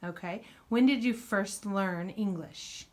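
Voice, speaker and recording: A woman's voice: medium pitch, takes it slowly, a little mellow. A woman interviewing a guest, asking questions and responding with frequent encouraging backchannels. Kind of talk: casual interview.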